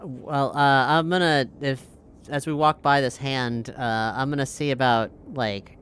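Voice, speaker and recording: A man's voice: medium pitch, fairly even intonation, measured pace, a bit bright, a little hiss or hum. A man playing a tabletop game in a casual, easygoing way, speaking for his character and joking along. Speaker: player.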